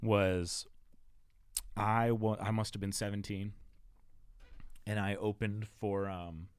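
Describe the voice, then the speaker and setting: A man with a fairly low voice hosts a casual podcast conversation, reminiscing eagerly and jumping in often. He sits close to the mic in a dry, dead room. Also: clean tone, dry recording. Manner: excited, reminiscing, quick to jump in. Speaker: podcast host. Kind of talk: casual interview chat.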